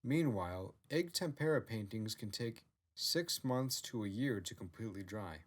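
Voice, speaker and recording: A man with a lowish voice delivers scripted narration. He reads as an author presenting his own written work. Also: expressive, normal speed.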